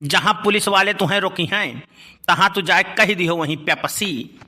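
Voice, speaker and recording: A man's voice: sits high, moderate pace, sharp tone, heavily acted. A man playing a folksy, rambling village uncle in a cartoon, with an Awadhi accent. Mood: folksy storytelling. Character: folksy village uncle telling tall anecdotes. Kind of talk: cartoon storytelling in rustic dialect.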